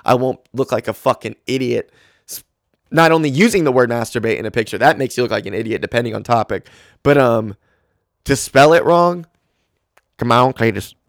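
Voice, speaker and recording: A man's voice: mid-range voice, lively intonation, a little fast, vocal fry, no room sound, studio quality. A man in his twenties hosting a solo podcast, talking casually and rambling with lots of fillers. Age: 20s. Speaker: podcast host.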